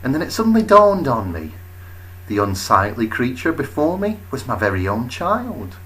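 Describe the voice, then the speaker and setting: A man narrating a comic first-person story as a storyteller, expressive, with wry, deadpan understatement. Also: mid-range voice, normal speed, slight background noise, rough recording.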